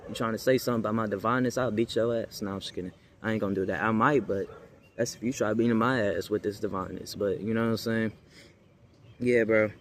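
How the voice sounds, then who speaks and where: A man's voice: fairly low pitch, steady tone, quick pace. A young American man talking casually and off the cuff to viewers, in a slangy, rambling way with emphatic repetition. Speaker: spiritual video creator. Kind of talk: casual unscripted monologue to viewers. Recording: clearly noisy background.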